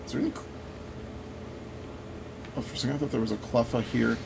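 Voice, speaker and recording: A man gives casual commentary over a video game in a mid-pitched voice. He rambles and thinks out loud as he goes. A clear steady background noise runs under his voice. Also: fast talker. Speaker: gaming video host.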